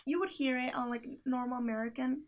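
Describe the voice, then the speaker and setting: A woman's voice: high-pitched, a little fast, a little hiss or hum. A young woman in a small indoor room, chatting casually about songs in a playlist, full of fillers and giggly asides. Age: young adult. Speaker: playlist reviewer. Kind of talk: casual chat.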